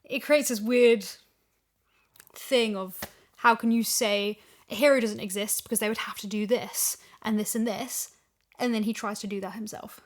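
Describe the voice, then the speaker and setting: A woman's voice: fairly high pitch, steady tone, normal speed. A woman in a casual podcast discussion, reasoning through her points conversationally. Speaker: podcast guest, audio drama voice actor.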